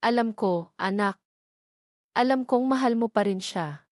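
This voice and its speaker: A woman's voice: a little high, unhurried, no echo, lightly acted. Woman doing a fussing loving mom in a romance drama, close dry audio. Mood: worried, insistent. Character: fretful, doting middle-aged mother.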